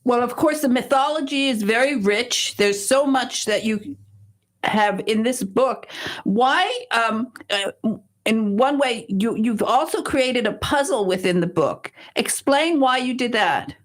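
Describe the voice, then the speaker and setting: A woman's voice: on the high side, expressive, unhurried. A woman hosting a radio talk show, interviewing an author and guiding him with friendly questions. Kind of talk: interviewing a guest.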